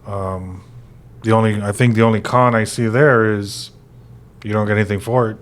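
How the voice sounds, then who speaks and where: Casting: forties male co-host, lower pitch, loose rambling delivery with fillers. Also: normal speed. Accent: American. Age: 40s. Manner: relaxed, rambling with many fillers. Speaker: real estate podcast co-host. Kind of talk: casual conversational chat.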